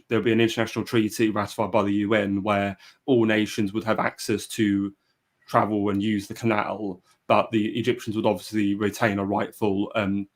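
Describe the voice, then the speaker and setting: A man hosting a live history discussion, speaking with frequent hesitations and restarts. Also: fairly low pitch. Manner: hesitant, many fillers and restarts. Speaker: podcast host. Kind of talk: casual live-stream discussion.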